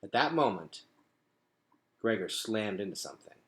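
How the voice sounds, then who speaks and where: A man's voice: lowish voice, big pitch swings, normal speed, fairly bright tone. A man reading a storybook aloud as a storyteller, with dramatic, emphatic phrasing.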